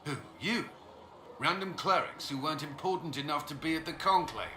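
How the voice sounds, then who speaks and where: Curt military commander, echoey room. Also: male voice, a little high, normal speed, pushed and bright. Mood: exasperated. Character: firm, exasperated male military commander, ex-Templar. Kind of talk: fantasy video game dialogue acting. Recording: roomy echo, clear background noise.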